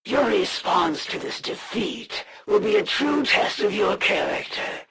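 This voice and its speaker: Slow, haughty, gravelly alien assassin. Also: female voice, medium pitch, wildly swooping pitch, a bit bright, exaggerated voice. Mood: disdainful. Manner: formal, haughty, deliberate, threatening. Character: proud alien assassin warrior, female, menacing, honor-bound, disdainful. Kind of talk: scripted video game villain voice acting.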